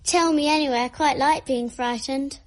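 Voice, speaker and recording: A woman's voice: high voice, steady tone, moderate pace, natural voice. A female performer playing a morbidly curious little girl who likes being frightened, in a radio drama.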